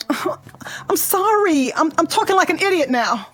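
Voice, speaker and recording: A frightened American wife and mother in a radio drama. Her high voice falters and frets with despair. Faint music sits underneath. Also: expressive, slow, fairly bright tone, heavily acted. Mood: nervous. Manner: fretful, faltering.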